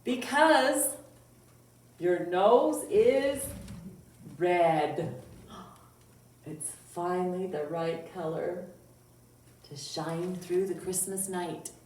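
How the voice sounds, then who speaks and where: Female children's storytime host, unhurried and playful, sing-song delivery, recorded at a distance in a roomy space. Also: on the low side, a little mellow. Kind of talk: children's storytime talk, reciting poems and singing. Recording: roomy echo, distant mic, faint noise.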